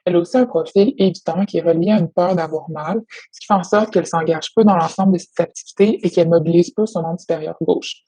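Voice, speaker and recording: A woman speaking as a therapist in a measured, formal, explanatory way on a professional call. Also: fairly low pitch, mellow, breathy edge, no echo, rough recording. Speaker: occupational therapist. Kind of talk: professional phone consultation, prepared report.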